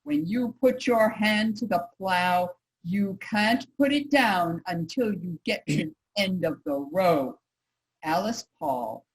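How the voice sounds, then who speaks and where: A woman's voice: fairly low pitch, takes it slowly, rough recording. A woman playing a determined suffrage leader, speaking firmly in a reader's theater piece. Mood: resolute.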